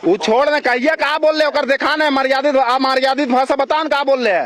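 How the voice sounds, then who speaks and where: A man's voice: very high-pitched, fairly even intonation, moderate pace, fairly bright tone. A man with a Jharkhand accent in a heated, confrontational phone argument, challenging and repeating himself. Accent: Jharkhand, India. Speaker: local party representative. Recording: recorded phone call, crowd chatter behind.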